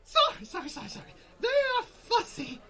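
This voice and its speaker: A woman's voice: very high-pitched, huge pitch swings, normal speed, fairly bright tone, full character voice. A woman playing a hyperactive, scatterbrained little male sorcerer in a comedy radio play, giggly and rambling. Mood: excited.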